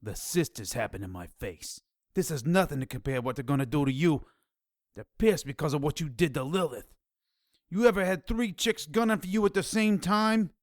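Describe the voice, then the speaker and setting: High, animated streetwise informant played by a man. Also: normal speed, dry recording, studio quality, close to an everyday voice. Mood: tense warning. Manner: urgent, slangy. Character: agitated, streetwise male informant with underworld ties. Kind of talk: audio drama dialogue.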